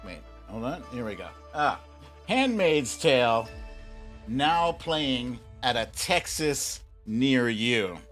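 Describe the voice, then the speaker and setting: A man's voice: mid-range voice, big pitch swings, faint music behind. A middle-aged American man hosting a casual livestream, chatting informally and playfully with his viewers. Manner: informal, playful, drawn-out shout-outs to viewers. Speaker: livestream show host.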